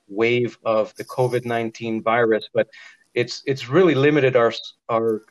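A man hosting a conversational radio interview, wordy and rephrasing as he goes, on a dull low-fidelity recording. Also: no room sound. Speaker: radio podcast host, interviewer.

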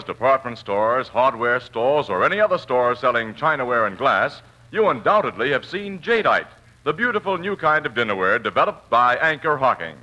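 A man's voice: mid-range voice, sharp tone, poor audio. A man reading a 1940s radio commercial as announcer, in a polished, emphatic sales style.

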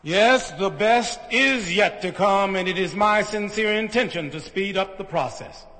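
Confident politician orating, high bright forceful voice, formal and grand, big hall reverb. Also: male voice. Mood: confident oratory. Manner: formal, grandiose, measured oratory. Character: confident, polished politician orating to party delegates. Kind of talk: convention speech in radio drama.

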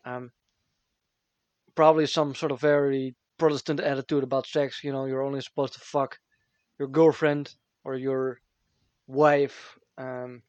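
A man's voice: mid-range voice, little pitch movement, unhurried, a little mellow, dry recording. A man with a Dutch accent chatting casually, rambling through fillers and breaking into giggles. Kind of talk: casual chat. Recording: dull, dry lo-fi recording.

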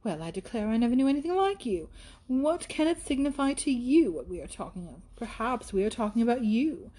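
A woman's voice: on the high side, very animated, brisk, warm tone. A woman voicing a gushing, flighty young woman in a period storybook, coquettish, exclamatory and affected as she scolds. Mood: teasing.

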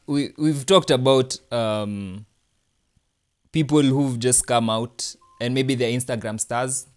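A man's voice: mid-range voice, slow pace, a little mellow. Casual male podcast co-host asking questions. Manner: drives the talk with questions. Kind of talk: casual chat.

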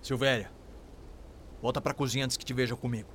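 A man's voice: mid-range voice, steady tone, brisk, fairly bright tone, slight background noise, lightly acted. An actor playing a resolute, protective enslaved young man in a radio drama, with a Brazilian accent and rustic, colloquial speech. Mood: urgent, reassuring.

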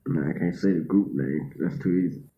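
A man giving clues in a casual guessing game with friends, halting and full of fillers and restarts. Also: deep voice, reverberant, phone-quality sound, a little hiss or hum.

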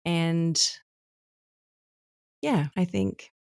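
A middle-aged woman with a South Australian accent, chatting as a podcast guest in a warm, reflective way and laughing easily. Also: on the low side, expressive, very slow, smooth, no echo, studio quality. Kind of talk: casual interview chat.

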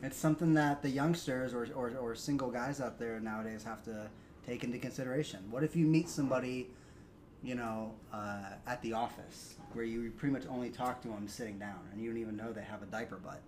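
A man's voice: mid-range voice, fairly quick, darkish tone, a little hiss or hum. Rambling joking male podcast host. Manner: crude joking, rambling with fillers. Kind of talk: casual comedic chat.

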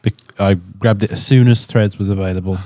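A man's voice: fairly low pitch, steady tone, a little fast, mellow, no room sound. A man co-hosting a podcast, chatting casually in a rambling, joking way. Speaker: podcast co-host, musician. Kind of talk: casual chat.

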